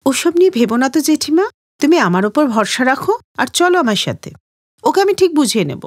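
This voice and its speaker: A woman's voice: sits high, sing-song, fairly quick, no room sound, clean studio sound. A woman voicing a kind, protective young niece with a reassuring manner in a cartoon moral story.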